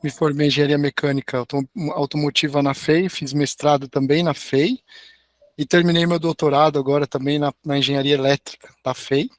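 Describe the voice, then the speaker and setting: Hoarse man lecturing online. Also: a little high, little pitch movement, brisk, dry recording, clean studio sound. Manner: friendly, tags sentences with "né?". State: hoarse, recovering from a sore throat. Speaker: engineering professor, guest lecturer. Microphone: video call.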